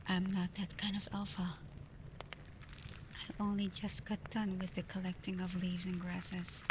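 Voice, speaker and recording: A woman playing a caring, teasing female alpha werewolf in an intimate, softly spoken ASMR roleplay. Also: lowish voice, monotone, background noise, natural voice. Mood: fond, chatty. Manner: playful, unhurried, soft, at times whispering. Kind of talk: ASMR roleplay, intimate acting addressed to listener.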